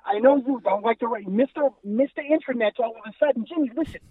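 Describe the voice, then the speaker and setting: A man's voice: very high voice, quick pace, husky, phone-quality sound. A man voicing a pushy older male talent agent who calls in to nag and scold, bossy and repeating the listener's name constantly. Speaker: caller. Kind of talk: improvised comedy bit.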